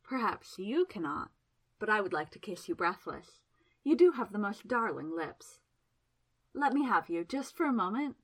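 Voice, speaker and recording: A woman's voice: medium pitch, very animated, normal speed, low-quality recording. A woman voicing Miss Absalom, a teasing temptress. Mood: coaxing. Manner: soft, coaxing and flirtatious. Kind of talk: audiobook character voice.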